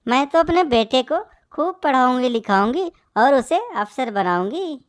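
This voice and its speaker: A woman's voice: sits high, animated, measured pace, dry recording, clean studio sound. A woman voicing a cruel, scolding bird mother in a moral-story cartoon. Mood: scolding, dismissive. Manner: scolding, harsh.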